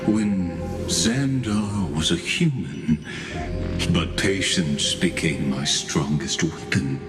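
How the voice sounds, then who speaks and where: An actor playing an ancient, coldly patient undead machine mastermind in a video game, with a deliberate, theatrical delivery. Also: male voice, low voice, exaggerated voice. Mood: patient menace. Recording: echoing hall reverb under music.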